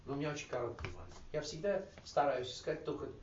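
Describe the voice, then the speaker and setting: A man's voice: on the high side, quick pace. Man joking to a group, roomy distant sound. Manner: animated, laughing, slangy and coarse. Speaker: recovery group speaker. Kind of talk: casual joking talk to a group. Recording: roomy, distant, dull with faint noise.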